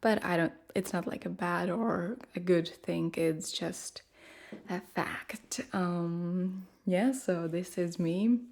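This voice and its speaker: A woman with a Latvian accent chats in her own full voice, quite soft and never loud, with a slightly low pitch. She takes her time and fills gaps with hesitant ums. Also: slow, creaky. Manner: relaxed, hesitant with many ums, talking quite softly. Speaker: ASMR creator. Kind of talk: casual chat in full voice.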